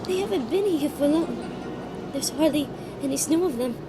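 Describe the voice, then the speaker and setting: A woman playing a defiant, indignant young female adventurer in an audio drama, emotive and halting. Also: sits high, animated, over music. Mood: dazed confusion.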